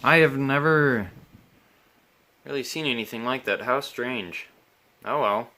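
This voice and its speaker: A man giving casual, unscripted commentary as he repairs a vintage radio, thinking aloud in a halting, musing way. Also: mid-range voice, pushed and bright.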